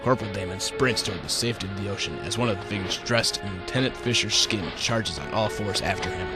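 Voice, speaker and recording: A man's voice: on the low side, fast. Man narrating dramatically over prominent music. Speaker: narrator in student radio drama.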